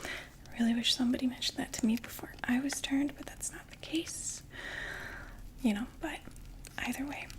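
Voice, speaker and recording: Young woman doing a vampire makeup saleslady, all whispered, kinda high voice, chatty and soft. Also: fairly even intonation, moderate pace, pauses often, lightly acted, low-quality recording. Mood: soothing. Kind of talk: ASMR roleplay.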